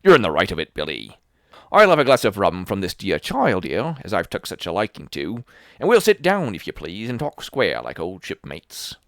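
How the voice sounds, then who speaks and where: A man's voice: low-pitched, huge pitch swings, normal speed, fairly bright tone, no room sound, studio quality, full character voice. A man reading an audiobook, voicing a fawning, sneering old pirate with a maimed hand who coaxes and threatens by turns. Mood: sly. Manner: fawning yet sneering, coaxing. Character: wheedling one-handed old pirate man. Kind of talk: audiobook character voice.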